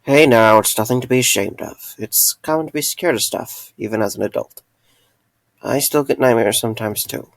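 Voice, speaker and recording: A man playing a gentle, reassuring former night worker in an amateur audio drama, speaking with a hesitant, stammering delivery. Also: mid-range voice, moderate pace, no room sound, natural voice, rough recording.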